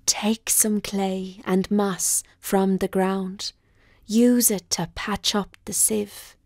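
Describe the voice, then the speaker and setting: A woman voicing a kindly male spirit of a well in a children's storybook, soft and half-whispered, giving careful instructions. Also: mid-range voice, flat delivery, takes it slowly, dry recording, studio quality. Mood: gentle, reassuring.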